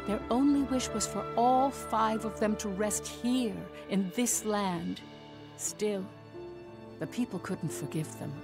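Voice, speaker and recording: A composed female professor in a game cutscene. Her mid-pitched delivery is formal, solemn and measured, like someone guarding a secret. Music plays clearly behind her. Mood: calm, knowing. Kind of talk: dubbed video game cutscene acting.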